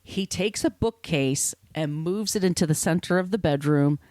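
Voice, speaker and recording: Woman podcaster telling stories, slightly low voice. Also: moderate pace, a little mellow, dry recording. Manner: informal, explanatory, with asides. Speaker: podcast host. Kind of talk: conversational storytelling of literary history.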